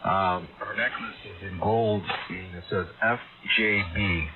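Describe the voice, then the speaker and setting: A man's voice: low voice, steady tone, a little slow. A man chatting casually and a little hesitantly over a dull, noisy ham radio transmission. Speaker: amateur radio operator.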